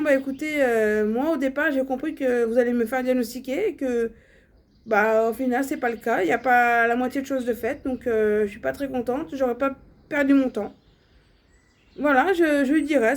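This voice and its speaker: A young woman talking casually and bluntly to her viewers, with many fillers and restarts. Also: high-pitched. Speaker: vlogger. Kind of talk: casual personal vlog monologue.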